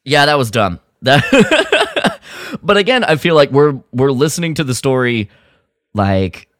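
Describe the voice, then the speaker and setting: A man's voice: medium pitch, very animated, a little slow, fairly bright tone, no echo, studio quality. A man co-hosting a podcast in casual review chat, exasperated and critical, slipping into mock quotes as he goes. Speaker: podcast co-host.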